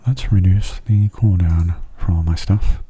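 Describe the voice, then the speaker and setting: A man giving gentle, soft-spoken ASMR game commentary in a breathy, near-whispered voice, unhurried and close to the microphone. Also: sits low, fairly even intonation. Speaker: gaming channel host.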